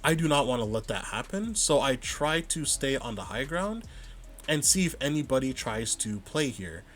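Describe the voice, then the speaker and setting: A man calmly breaking down his own match footage, thinking aloud and stopping to rewind and check his plays. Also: medium pitch, moderate pace, a little hiss or hum. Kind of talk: casual vod review commentary.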